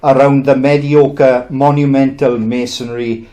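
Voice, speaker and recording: A man's voice: medium pitch, little pitch movement, measured pace, mellow. A man introduces a poem in a chatty way, then reads it in a raised, declaiming voice. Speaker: poetry reader at open mic. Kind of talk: introducing and reading a poem aloud.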